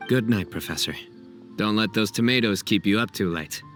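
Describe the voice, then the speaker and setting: An actor voicing a composed, principled young man with a dry, measured, friendly manner in an anime video game. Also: lowish voice, a bit bright, close to an everyday voice. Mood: dry, wry. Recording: music under the voice.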